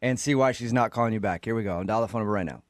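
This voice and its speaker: A man's voice: on the low side, rapid-fire, very noisy. A man hosting a radio show, bantering and asking questions in a blunt, teasing manner. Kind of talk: morning radio banter and interviewing.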